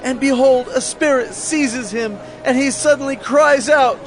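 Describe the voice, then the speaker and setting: A man's voice: extremely high pitch, a little slow, slight background noise. A man playing a desperate father pleading from a crowd in a dramatized audio Bible, with an imploring manner. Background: faint music.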